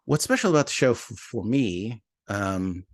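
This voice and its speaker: Low-voiced man in thoughtful conversation. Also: big pitch swings, a little slow, dry recording, clean studio sound. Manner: hesitant, searching for words, self-deprecating. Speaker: podcast writer and co-producer, interview guest. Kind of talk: casual, reflective interview talk.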